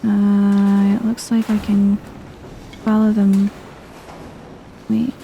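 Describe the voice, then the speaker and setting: A soft-spoken woman giving chill, casual game commentary in an ASMR vibe, murmuring as if to herself. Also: mid-range voice, completely flat delivery, slow pace, gravelly, a little hiss or hum, poor audio. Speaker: gameplay streamer.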